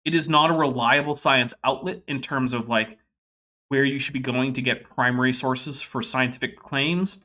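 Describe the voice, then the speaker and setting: Bright-voiced talkative male podcast host. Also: medium pitch, steady tone. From Boston area. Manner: talkative, explanatory, quick bursts. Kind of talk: casual commentary.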